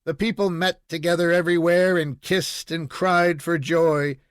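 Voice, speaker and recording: A man reading a children's story aloud in an expressive, measured storytelling manner. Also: a little high, smooth, dry recording, studio quality. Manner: measured storytelling with acted dialogue. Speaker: audiobook reader.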